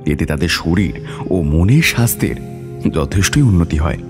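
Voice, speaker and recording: Deep, expressive male story narrator. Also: moderate pace, music in the background. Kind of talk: dramatic scripted narration.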